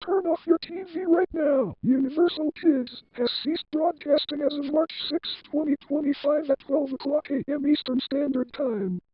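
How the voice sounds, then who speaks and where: A woman's voice: sits high, moderate pace, long pauses, dry recording. A woman reading a scripted emergency warning as an announcer. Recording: dull lo-fi audio.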